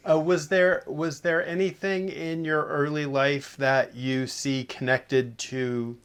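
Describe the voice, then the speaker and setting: A man's voice: on the high side, level tone, takes it slowly, fairly bright tone, smooth. A man asking oral history questions as an interviewer, phrasing them carefully and tentatively.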